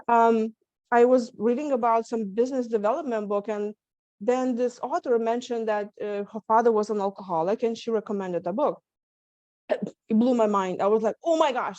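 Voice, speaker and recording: A woman's voice: on the high side, dark tone, dry recording, muffled recording. A woman from Lithuania with a Lithuanian accent, a stress coach telling her story in an earnest interview. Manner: earnest, many restarts and small laughs. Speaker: guest, stress management coach. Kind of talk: candid interview talk. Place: home setup, video call. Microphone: video call.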